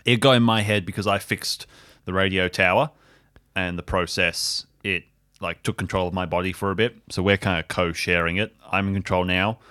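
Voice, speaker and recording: A man's voice: low-pitched, steady tone, normal speed, sharp tone. A man improvising audio drama as a player, telling his character's actions in a casual, joking manner. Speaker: player and co-narrator.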